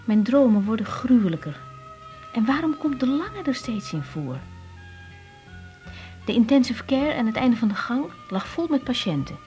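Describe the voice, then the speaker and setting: A woman's voice: on the high side, very animated, normal speed, long pauses, mellow, soft background music. An actress playing a harried, emotional young woman doctor in a radio drama, speaking expressively. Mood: confiding, troubled. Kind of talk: Dutch radio drama acting.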